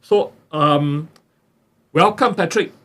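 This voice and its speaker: A male podcast host talks in a fairly high voice. He stumbles through his guest's introduction and fills the pauses with "uh" and "yeah". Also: a bit bright. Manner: hesitant, many fillers, non-standard grammar. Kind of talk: casual interview hosting. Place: podcast studio.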